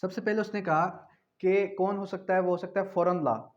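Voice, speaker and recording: Male law teacher, Pakistani accent, slightly high pitch, didactic and repetitive with English terms mixed in; dull recording. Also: fairly even intonation, moderate pace. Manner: didactic, repeats phrases and asks himself questions. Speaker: law lecturer. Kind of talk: explanatory law lecture mixing in English terms. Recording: dull, lo-fi sound.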